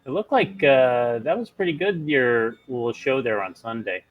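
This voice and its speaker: A man's voice: medium pitch, lively intonation, normal speed, no room sound, dull audio. A man in casual chat as a player in a tabletop role-playing game.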